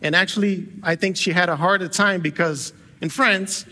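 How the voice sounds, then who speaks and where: A man from Brazil delivers a sermon in a church, his voice pitched a little high and sounding bright and forceful. He talks in a loose, conversational way, restarting phrases and slipping in small jokes. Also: steady tone, normal speed. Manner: conversational with light humor and frequent self-corrections. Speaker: guest pastor. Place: church sanctuary with a live congregation.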